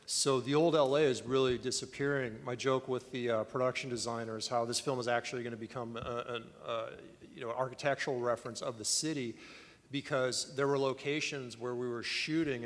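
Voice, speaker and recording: A man, a film director, answering thoughtfully with many fillers and restarts. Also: medium pitch. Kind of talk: press conference answer. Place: large convention hall. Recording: reverberant large hall.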